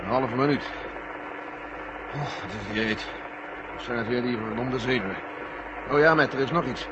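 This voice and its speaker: An actor playing a blunt, candid older male general in charge of a space mission in a 1970 radio drama, speaking deliberately. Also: medium pitch, lightly acted. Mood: tense, candid. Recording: dull, noisy old radio recording.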